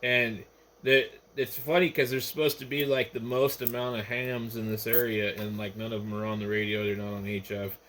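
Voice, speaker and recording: A man's voice: mid-range voice, little pitch movement, moderate pace, fairly bright tone. An American man, an amateur radio operator, chatting casually over the radio. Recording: noisy ham radio transmission, static behind.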